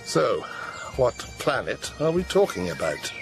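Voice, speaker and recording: An actor playing a blunt, haunted military captain who questions things tersely in a radio drama. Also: male voice, mid-range voice, wildly swooping pitch, a little slow. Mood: puzzled, dry. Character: gruff military captain, haunted, practical, adult man. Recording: music under the dialogue.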